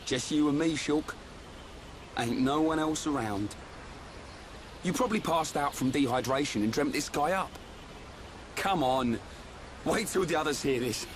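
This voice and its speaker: Casting: male actor for cheeky young warrior pal, fairly high voice, blunt banter, fantasy game, noisy ambience. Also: unhurried, pauses often, close to an everyday voice. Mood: skeptical. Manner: blunt, joking, colloquial. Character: brash, teasing young male warrior sidekick. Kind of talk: video game voice acting.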